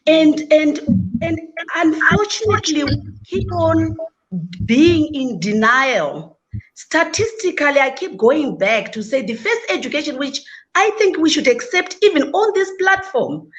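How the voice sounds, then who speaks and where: A woman panelist speaking earnestly and deliberately in a talk show discussion. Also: high voice, lively intonation, rough recording. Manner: earnest, deliberate, self-critical. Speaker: panelist, education leader.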